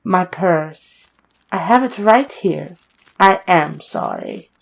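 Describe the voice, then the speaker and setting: A woman acts out a meek, apologetic young woman of radio suspense, with a husky, creaky voice. She is hesitant and deferential, and her pitch moves around a great deal. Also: lively intonation, slow pace, exaggerated voice. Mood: timid, apologetic. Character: timid, polite, apologetic young woman starting a new job. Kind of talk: old-time radio suspense drama acting.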